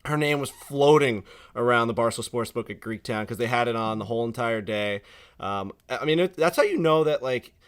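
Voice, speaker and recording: A man's voice: medium pitch, fairly quick, clean tone. Rambling, profane male podcast co-host. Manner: rambling, filler-heavy, profane. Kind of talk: casual chat.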